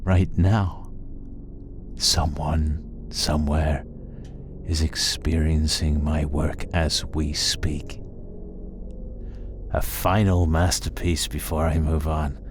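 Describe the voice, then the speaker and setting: A man voicing a coldly intense, menacing male killer in a horror audio story, speaking deliberately with ominous pauses. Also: a bass voice, flat delivery, background noise. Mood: cold menace. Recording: loud music under the voice. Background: music.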